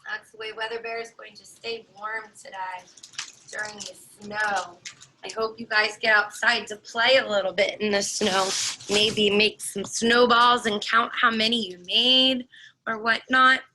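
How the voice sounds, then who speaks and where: A woman's voice: medium pitch, fairly even intonation, a little slow, background noise. A woman preschool teacher leading a virtual morning class, explaining things to young children in simple steps. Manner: instructive, addressing a group of small children.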